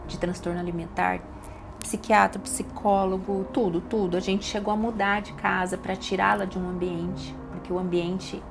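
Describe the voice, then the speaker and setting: A middle-aged woman with a Brazilian Portuguese accent, a doctor and podcast guest, giving a personal testimony with emotional, emphatic repetitions. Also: mid-range voice. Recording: audible background noise.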